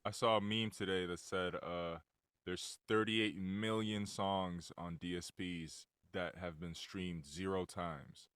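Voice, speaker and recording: Easygoing man with a very deep voice. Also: steady tone, slow, a bit bright, clear, resonant tone, no room sound, clean studio sound. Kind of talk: casual chat.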